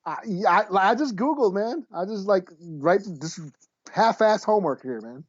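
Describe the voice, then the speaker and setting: A man's voice: high-pitched, animated, moderate pace, no echo. A man co-hosting a podcast in casual chat, offhand and self-deprecating, on a dull lo-fi microphone. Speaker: podcast co-host.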